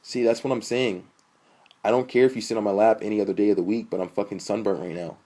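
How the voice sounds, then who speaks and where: A deep, husky young man as a male narrator plays him in an audiobook. He is an irritable boyfriend, blunt, defensive and aggrieved, and he swears freely. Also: fairly even intonation, fast, mellow, close to an everyday voice. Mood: defensive, irritated.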